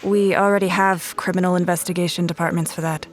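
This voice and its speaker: An actress playing a sharp-eyed, no-nonsense female detective in a noir audio drama, deadpan and sardonic. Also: lowish voice, fast, close to an everyday voice. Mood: cool, probing. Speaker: detective and narrator. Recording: music behind the voice.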